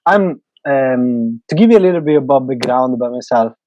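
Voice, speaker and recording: A man's voice: medium pitch, animated, brisk, a little mellow, dry recording, highs cut off. A man in his twenties from Italy, speaking English with an Italian accent as a podcast host. He is eager and talkative, and he searches for words. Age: 20s. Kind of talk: casual podcast chat.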